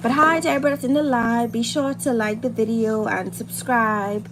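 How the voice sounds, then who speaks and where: Higher-voiced Bahamian woman, noisy livestream. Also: steady tone, a little fast. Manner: informal, encouraging, repeats phrases for emphasis. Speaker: livestream host, beauty and hair content creator. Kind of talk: casual chat while doing makeup, motivational girl talk. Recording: loud background noise on livestream.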